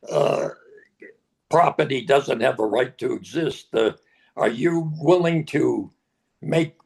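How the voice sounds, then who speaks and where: Slow gravelly older man speaker. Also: medium pitch, a little mellow. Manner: slow, halting, with many fillers. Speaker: conference speaker. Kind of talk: answering questions at a panel.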